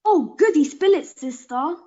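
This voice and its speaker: Woman playing an excitable, high-voiced nosy girl. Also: normal speed, lo-fi sound. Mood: eager. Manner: curious, excitable. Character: eager, nosy, naive young gossiping woman. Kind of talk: audio play acting.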